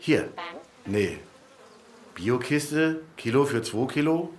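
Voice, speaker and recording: A man's voice: mid-range voice, expressive, takes it slowly, a little hiss or hum. An actor playing a grumbling, resigned old analog machine in a radio play, muttering drily as he rambles. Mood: grumbling, puzzled.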